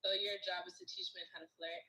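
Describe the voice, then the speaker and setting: A young woman joining a livestream as a guest, chatty and giggly, firing off quick questions. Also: mid-range voice, sing-song, vocal fry, pushed and bright, breathy edge, rough recording. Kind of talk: casual livestream chat.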